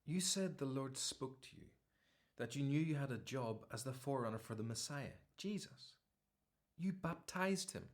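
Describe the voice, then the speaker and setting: An actor playing a concerned, loyal male friend in a radio play, gentle and earnest. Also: mid-range voice, lively intonation, normal speed, close to an everyday voice.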